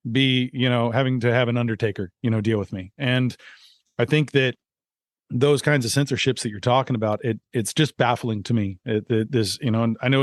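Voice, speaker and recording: A man's voice: mid-range voice, no echo, studio quality. A man hosting a podcast interview, speaking earnestly and searching for his words as he goes. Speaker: podcast host. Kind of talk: casual interview talk.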